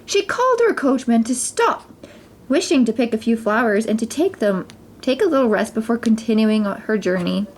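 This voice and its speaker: A woman narrates a children's storybook. Her voice sits fairly high and moves through lively, wide intonation. She reads expressively, dramatizing the tale for young listeners. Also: moderate pace, slight background noise. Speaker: mother reading stories for children. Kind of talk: storybook narration read aloud.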